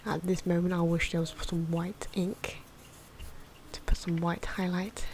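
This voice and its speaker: A soft-spoken woman giving ASMR drawing commentary, often slipping into a breathy whisper, with hesitant, unhurried phrasing. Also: lowish voice, little pitch movement. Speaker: ASMR video creator narrating own drawing. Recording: noisy, pencil scratching and ambient sound. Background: pencil and pen noises, outdoor wind.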